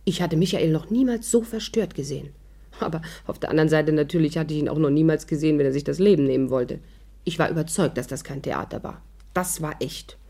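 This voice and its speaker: A woman's voice: low voice, fast, gravelly, mellow. An actress in a 1950s radio play, narrating her own adventure in a composed, scripted storytelling manner. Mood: grave, suspenseful.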